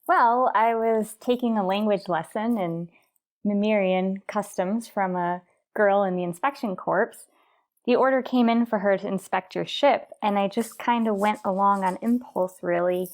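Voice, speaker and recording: An actress playing a naive, trusting young stowaway in a radio drama, innocent, eager and unguarded. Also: female voice, mid-range voice, normal speed, natural voice.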